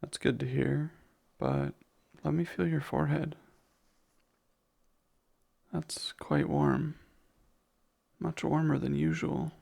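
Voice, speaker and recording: Protective vampire caretaker played by a man, slightly high male voice, unhurried, soft and intimate ASMR delivery, tender and courteous. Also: sing-song, creaky, heavily acted. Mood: tender concern. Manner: tender, soothing, hesitant, formal wording.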